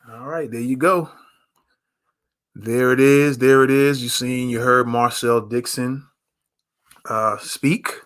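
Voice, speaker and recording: A man's voice: mid-range voice, fairly bright tone. A man hosting a live news stream, talking in a loose, rambling, easygoing way. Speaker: livestream news host. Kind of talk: casual live commentary.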